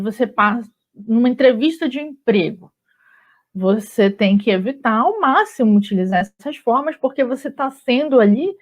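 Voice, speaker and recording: A woman with a Brazilian Portuguese accent teaching a grammar lesson in a relaxed, chatty way, often checking in with her students. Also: medium pitch. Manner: relaxed and chatty, with frequent tag questions. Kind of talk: conversational online grammar lesson. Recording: slightly dull lo-fi audio.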